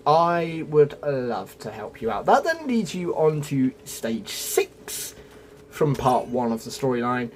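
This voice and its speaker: A man's voice: mid-range voice, big pitch swings, takes it slowly, slight background noise. A man narrating a casual gaming guide in a chatty way, addressing viewers directly and musing as he goes. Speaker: gaming video creator.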